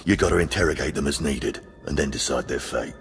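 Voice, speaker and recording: An actor playing a gruff, callous, sardonic prison jailer in a video game, with a gravelly voice and rough colloquial speech. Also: male voice, very deep voice, fairly quick, faint music behind. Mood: gruff, businesslike. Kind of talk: video game character acting.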